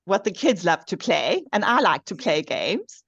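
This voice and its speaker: A woman's voice: medium pitch, big pitch swings, a little slow, a bit bright, breathy edge, dry recording. Woman on dull, lo-fi audio. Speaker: online English teacher. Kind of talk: casual group discussion.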